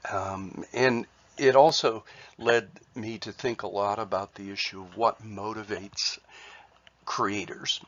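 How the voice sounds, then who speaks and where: A man with a cold, sounding congested, presenting his artwork in a halting way with many fillers and restarts. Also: on the low side, fairly bright tone, dull audio. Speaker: artist sharing his work. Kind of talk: casual spoken presentation.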